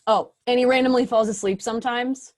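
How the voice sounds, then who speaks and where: A woman's voice: on the high side, steady tone, brisk, a little mellow. A woman narrating a stage fairy tale in a wry storytelling way.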